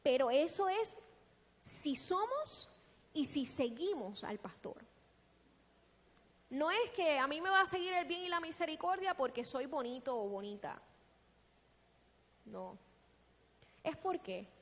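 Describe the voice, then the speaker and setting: A woman preaching a sermon in a deliberate, emphatic way, posing rhetorical questions. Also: high voice, animated, a bit bright. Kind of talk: sermon with scripture exposition. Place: large echoing church hall. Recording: long reverberant hall sound.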